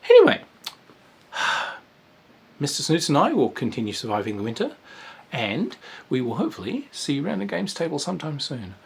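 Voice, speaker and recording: A man's voice: on the high side, wildly swooping pitch, normal speed, vocal fry, long pauses, breathy edge. A man giving a casual spoken review of a tabletop game, rambling and hesitant, with frequent fillers and dry asides.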